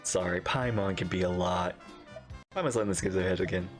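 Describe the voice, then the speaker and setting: A woman's voice: rumbling low, a little fast. A woman streamer giving casual, joking reaction commentary as she talks back to a video game. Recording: game music behind the voice.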